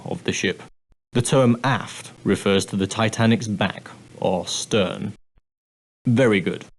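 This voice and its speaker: An actor playing a straight-laced, proper ocean-liner steward in a video game, speaking formally and deferentially with precise, politely dry phrasing. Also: male voice, on the low side, poor audio. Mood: helpful, proper. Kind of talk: scripted game dialogue, giving guidance.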